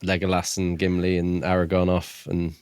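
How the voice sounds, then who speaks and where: A man's voice: deep voice, completely flat delivery, moderate pace, a little mellow, smooth, dry recording, studio quality. A man co-hosting a podcast, talking casually about books. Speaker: podcast co-host. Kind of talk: casual book discussion.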